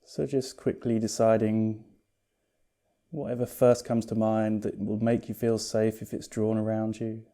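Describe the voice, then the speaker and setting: Very mellow, even-toned man guiding meditation. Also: fairly low pitch. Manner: calm, soothing, unhurried, gently reassuring. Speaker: yoga nidra teacher. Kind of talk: guided meditation instruction.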